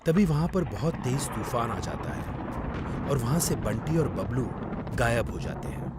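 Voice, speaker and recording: A man's voice: medium pitch, animated, unhurried, over loud music. A man narrating a scripted children's story as its storyteller.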